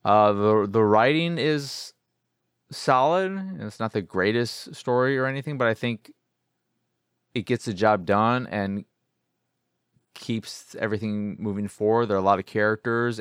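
A man's voice: on the low side, clean tone, dry recording, clean studio sound. A man hosting a podcast, talking casually on his own as he reviews games, rambling and circling back to rephrase his points. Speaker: podcast host. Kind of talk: casual solo video game review talk.

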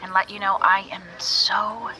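Audio drama role: caring best friend, mid-pitched, encouraging, natural, music underneath. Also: female voice, flat delivery, a little slow, bright, forceful tone, low-quality recording. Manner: encouraging, tender. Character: supportive, affectionate female friend.

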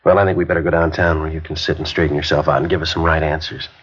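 An actor playing a methodical police detective in a radio crime drama, questioning in clipped, flat sentences and narrating the case. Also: male voice, very deep voice, rapid-fire, husky. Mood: terse. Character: curt, methodical male police sergeant. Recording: dull lo-fi 1950s radio recording.

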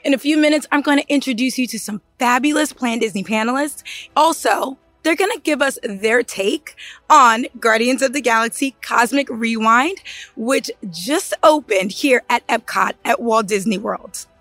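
Hoarse, excitable woman host, a touch high-pitched, dry close sound. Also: normal speed, no echo, faint music behind. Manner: bubbly, excitable. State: hoarse from screaming. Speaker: podcast host. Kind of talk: upbeat podcast hosting.

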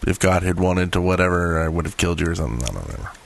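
A man speaks in a low voice as a podcast co-host. His delivery is casual and conversational. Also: big pitch swings, racing, gravelly, no room sound. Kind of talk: casual chat.

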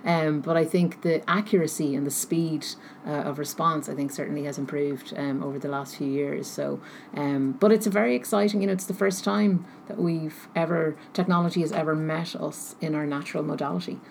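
A woman answering interview questions thoughtfully, pausing often as she searches for her words. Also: sits low, steady tone, gravelly, darkish tone. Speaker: interview guest, technology researcher. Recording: noticeable background noise.

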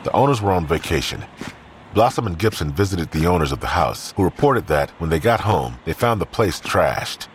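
A man's voice: low-pitched, very animated, moderate pace. A man reading scripted narration as the narrator of an audio drama. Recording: clearly noisy background ambience.

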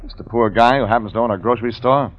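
A man's voice: mid-range voice, steady tone, fast talker, lightly acted. A man playing a terse hard-boiled detective. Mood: terse probing. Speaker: private investigator and narrator. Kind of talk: 1940s radio acting. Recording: muffled old radio broadcast with music.

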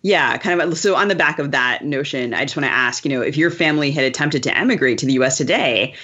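Woman interviewer, halting thoughtful way of talking, bit lo-fi sound. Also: deep voice, animated, a bit bright, breathy edge. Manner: thoughtful, halting, circling into questions. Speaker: podcast host. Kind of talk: conversational interview.

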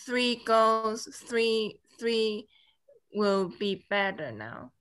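A woman whose first language is Cantonese speaks in English in slow, halting phrases. Her voice is bright and a little tense. She often stops to search for words and rebuilds her sentences as she goes. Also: on the high side, very animated, smooth. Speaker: interview guest. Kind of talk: casual conversation.

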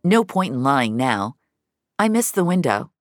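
A woman's voice: very deep voice, very animated, unhurried, no room sound, clean studio sound, heavily acted. A woman voicing an anxious, blurting female agent in an audio drama. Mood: defensive. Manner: blurting, emotional, swearing. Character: foul-mouthed, anxious female dream-deleting agent.

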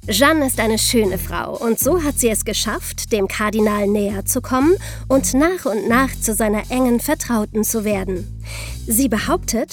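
A woman co-hosting a history podcast, reading scripted narration in an explanatory manner. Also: a little high. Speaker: podcast co-host.

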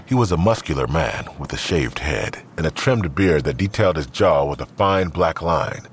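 A man with a low voice narrates a scripted crime drama. His pitch moves expressively as he tells the story, and a faint ambience sits behind him. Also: expressive, normal speed, a little hiss or hum.

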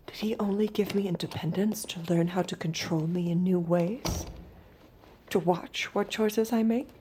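A woman playing a compliant young girlfriend in an audio drama, soft-spoken and guarded, sweet on the surface and doubting underneath. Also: lowish voice, steady tone, moderate pace, slight background noise, lightly acted, rough recording. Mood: inward, anxious. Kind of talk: naturalistic audio drama acting.

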